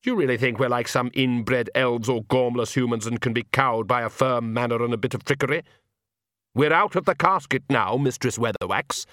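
A man's voice: medium pitch, normal speed, fairly bright tone, dry recording, studio quality, lightly acted. A man voicing an arrogant, urbane vampire count with a mocking, theatrically polite manner. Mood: smug, taunting.

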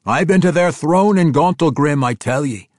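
Dude putting on a plainspoken dwarf guy, flat matter-of-fact delivery, raised voice. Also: on the high side, normal speed, no echo, clean studio sound, pitched above the performer's own voice, close to an everyday voice. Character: stubborn young dwarf king, blunt and plain-spoken.

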